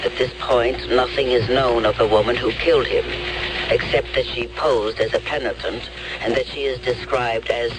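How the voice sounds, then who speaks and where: A formal male radio newscaster, played by a man who delivers a bulletin in a brisk, even, mid-pitched voice. Music plays behind the report in this old radio drama. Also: lightly acted, low-quality recording.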